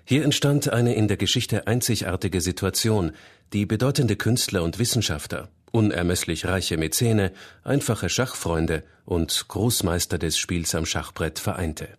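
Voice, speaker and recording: Deep deep male voice reading text formally, measured. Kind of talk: reading a book passage.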